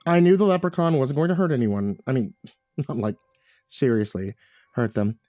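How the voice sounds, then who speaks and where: A man's voice: medium pitch, lively intonation, normal speed, dark tone, no echo, clean studio sound, faint music behind, close to an everyday voice. A man voicing a brash, foul-mouthed tough woman in an audiobook, barking and sardonic, with scornful teasing. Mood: sardonic, reassuring. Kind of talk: audiobook character voice.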